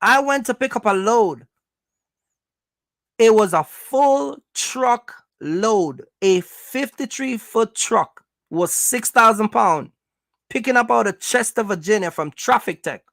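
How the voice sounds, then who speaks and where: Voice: mid-range voice, animated, slow pace, gravelly, fairly bright tone, no room sound. A speaker gives casual motivational advice as a host, talking emphatically, repeating points and checking in with listeners. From Jamaica. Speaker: host, trucking business coach.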